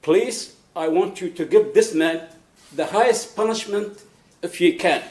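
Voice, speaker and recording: A man's voice: high voice, a little slow, a little mellow. A man pleading to the court in a raised, impassioned manner. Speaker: speaker giving a victim statement. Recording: echoey courtroom.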